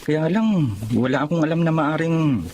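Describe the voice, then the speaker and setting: Male actor for plainspoken, sympathetic driver friend in radio serial, highish pitch, faint noise. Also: expressive, moderate pace, darkish tone, natural voice. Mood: concerned. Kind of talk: Tagalog radio drama acting.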